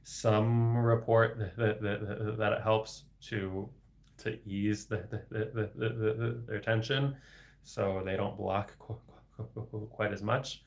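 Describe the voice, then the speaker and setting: A young man with a low, gravelly voice talks slowly on a casual solo livestream. He stutters heavily, repeating sounds and getting stuck on words, and laughs softly between phrases. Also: fairly even intonation. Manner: heavy stutter with repetitions and blocks, frequent soft laughs. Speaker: livestream host reading viewer questions.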